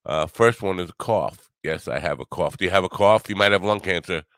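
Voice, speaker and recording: An older man hosting his own podcast in a rambling solo monologue, grumbly and low, wry and self-mocking. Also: a little fast, fairly bright tone, dry recording, clean studio sound. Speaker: podcast host.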